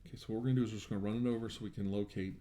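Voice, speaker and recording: A man's voice: on the low side, fairly even intonation, very fast, mellow. A man doing an ASMR roleplay as a medical examiner, talking in a reassuring, attentive bedside manner.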